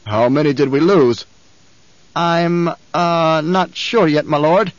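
A man voicing a nervous, flustered male guard captain who answers in a hurried, deferential way. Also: on the high side, no echo, pitched above the performer's own voice. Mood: anxious. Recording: dull, dry studio recording.

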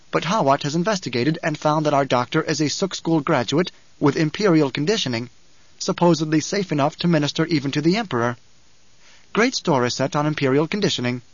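Man as calculating schemer, audiobook, precise, measured, smug, higher male pitch, dry slightly dull sound. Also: expressive, no echo. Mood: smug scheming. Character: coolly calculating male mentat adviser, sly and precise. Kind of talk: audiobook character acting.

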